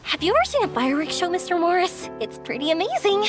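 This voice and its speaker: A woman playing a friendly, upbeat young helper in an animated video game. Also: extremely high pitch, fast talker, music in the background. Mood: chatty.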